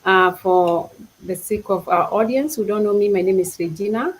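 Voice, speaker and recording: A woman's voice: mid-range voice, normal speed, slight background noise, low-quality recording. A middle-aged woman with a Kenyan accent casually introduces herself as a panelist.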